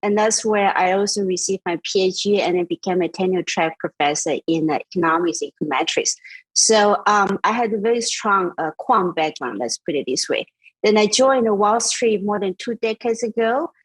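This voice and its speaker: A middle-aged woman, born in Taiwan and raised in Austria, explaining her work as a company CEO in a measured way with many fillers. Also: mid-range voice, animated, breathy edge, dry recording, low-quality recording. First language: Mandarin. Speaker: DeFi company CEO, guest. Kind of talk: interview answers.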